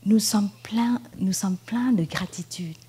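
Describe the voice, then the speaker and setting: A Buddhist nun from Vietnam speaking French with a Vietnamese accent, giving a gentle, unhurried dharma talk at a retreat, with pauses and fillers. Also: female voice, medium pitch, breathy edge. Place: meditation hall at a retreat.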